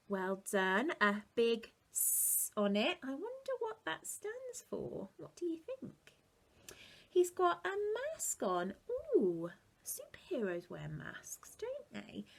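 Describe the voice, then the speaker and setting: Animated teacher reading to kids. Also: female voice, on the high side, gravelly, husky. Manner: animated, questioning, drops to a whisper. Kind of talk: reading a picture book to children.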